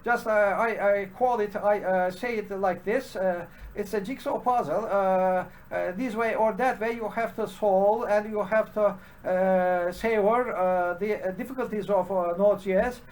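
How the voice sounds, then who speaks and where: A man's voice: sits high, fairly even intonation, a little mellow. Hesitant man with a Turkish accent. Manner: halting, heavy with "uh" fillers and restarts. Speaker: programming channel host. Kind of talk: unscripted solo commentary.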